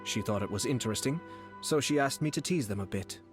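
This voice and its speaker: An actor playing a courteous, calculating young clan lord in a video game, speaking in a measured, formal and composed way. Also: male voice, medium pitch, expressive, over music, close to an everyday voice. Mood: composed, wry. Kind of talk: video game character acting.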